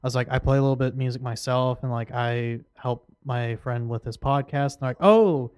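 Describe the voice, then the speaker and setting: Easygoing, halting male co-host. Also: medium pitch, a little mellow, clean tone, no room sound. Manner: hesitant, easygoing, many fillers. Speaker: podcast co-host. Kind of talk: casual storytelling. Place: small home podcast studio.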